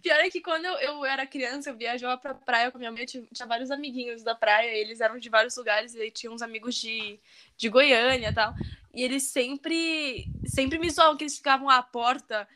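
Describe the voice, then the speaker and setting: A young woman with a São Paulo accent and a strong R, chatting casually and telling little stories in an animated, slangy way. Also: on the high side, fairly quick, a little hiss or hum. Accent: São Paulo Brazilian Portuguese with strong R. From São Paulo state, Brazil. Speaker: conversation partner. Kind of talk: casual chat.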